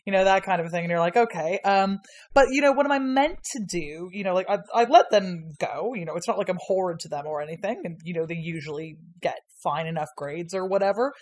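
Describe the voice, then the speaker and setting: A woman's voice: on the low side, quick pace, a little mellow. A woman guest on a casual podcast, a history lecturer who rambles through stories with constant fillers, frequent laughs and casual swearing.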